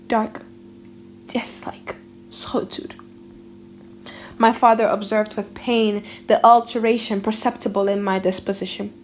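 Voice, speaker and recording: A high-pitched woman narrates a gothic audiobook with theatrical feeling. She leans on dramatic pauses and hushed drops in her delivery. Some background noise sits under the recording.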